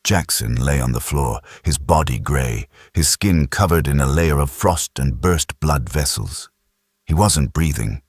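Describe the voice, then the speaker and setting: An extremely deep male narrator reads dramatic science fiction with grave suspense. His husky voice moves expressively up and down, recorded close and dry. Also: expressive, measured pace, no echo. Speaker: audiobook narrator. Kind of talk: dramatic scripted sci-fi narration.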